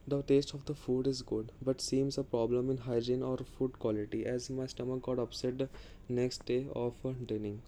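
A man reading customer reviews aloud in a halting way. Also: mid-range voice, mellow, rough recording. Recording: noticeable background noise.

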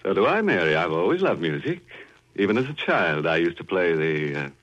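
A chuckling radio horror host. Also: male voice, low voice, sing-song, brisk, fairly bright tone. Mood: mocking. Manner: macabre puns, mocking chuckles. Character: ghoulish joking male horror host. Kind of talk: 1940s radio acting. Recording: dull 1940s radio broadcast.

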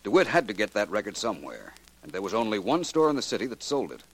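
A low male voice with lively swings of pitch, a confident American sleuth in a 1940s radio mystery. He speaks formally and deliberately, with an air of authority. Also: husky, full character voice, rough recording. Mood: explaining, assured. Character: shrewd, confident male private detective.

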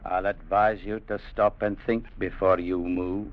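An actor playing a steady, defiant company clerk, measured and matter-of-fact, narrating and acting in a 1950s radio drama. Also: male voice, deep voice, background noise. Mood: cool defiance. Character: steady, defiant young company clerk telling his adventure. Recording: dull vintage radio broadcast, music behind.

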